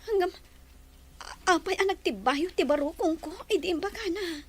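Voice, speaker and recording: A woman's voice: very high voice, normal speed, darkish tone. A woman with a Filipino accent playing a devoted, anxious mother in a radio drama. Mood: puzzled, uneasy. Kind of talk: Philippine radio drama acting.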